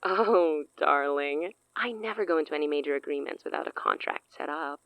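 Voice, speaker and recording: An actress playing a cunning, self-assured sorceress in an audio drama, speaking in a theatrical, silky, condescending way. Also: female voice, low voice, normal speed, creaky, no echo, muffled recording. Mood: smooth, commanding. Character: cunning, self-assured woman sorceress offering a dangerous pact.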